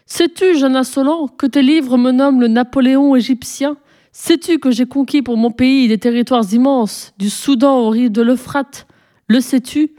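An ancient, imperious pharaoh played by a woman reader holds forth from a storybook. The delivery is pompous and solemn, declaimed with majesty in a mellow tone. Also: medium pitch, animated, moderate pace, close to an everyday voice. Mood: indignant, imperious. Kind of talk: storybook reading, character dialogue.

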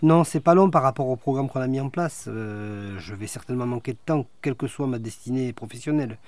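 A middle-aged man, a magistrate, answering interview questions in a measured, precise way with dry humor. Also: medium pitch, a little mellow, no room sound. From France. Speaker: guest, magistrate.

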